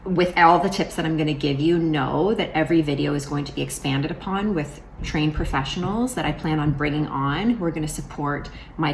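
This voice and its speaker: Woman in her forties, deep gravelly voice, rambling chatty vlog talk, faint room hum behind. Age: 40s. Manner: chatty, rambling, with many fillers and restarts. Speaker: vlogger. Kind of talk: casual vlog talk to camera.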